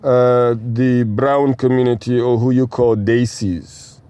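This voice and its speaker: A man runs a casual group discussion, steering it with follow-up questions. His voice is mellow and relaxed, sitting at an average pitch for a man. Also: slow pace, clean tone, dry recording. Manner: probing, asks follow-up questions. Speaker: interviewer.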